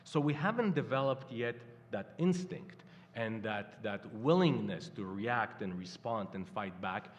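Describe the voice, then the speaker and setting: An older man from Poland with a Polish accent, speaking haltingly as a guest at a live panel. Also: fairly low pitch, animated, highs cut off. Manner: halting, many fillers and restarts. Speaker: campaign guest. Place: stage in a hall before a live audience. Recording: hall reverb.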